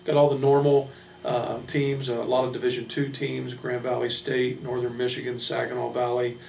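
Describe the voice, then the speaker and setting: A man speaking off the cuff as a college basketball coach, introducing his players in a halting, plain-spoken way. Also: mid-range voice, monotone, gravelly, darkish tone. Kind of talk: unscripted team roster introduction. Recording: roomy, distant mic, noisy hum.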